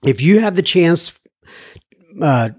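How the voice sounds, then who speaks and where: A man's voice: a little high, animated, takes it slowly, darkish tone, no room sound, clean studio sound. A man hosting a recovery podcast, reading listener letters aloud and adding folksy asides with frequent restarts. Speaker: podcast host.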